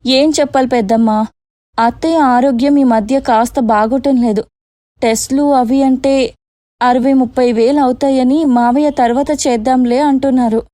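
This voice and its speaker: A woman's voice: high-pitched, steady tone, normal speed, warm tone, dry recording, clean studio sound, natural voice. A woman voicing a crafty young daughter-in-law in a cartoon moral story, coaxing and chatty. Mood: scheming.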